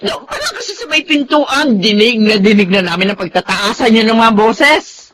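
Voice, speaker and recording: Radio drama mother, older, easily shocked, fretful, very animated delivery. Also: a little high, normal speed. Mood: alarmed, dismayed. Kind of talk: Tagalog radio drama acting.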